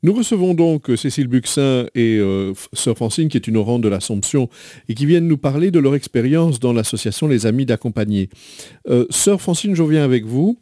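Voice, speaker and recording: A man hosting a radio interview, asking questions in halting phrases with repeated words. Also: mid-range voice, animated, dark tone, no echo, clean studio sound.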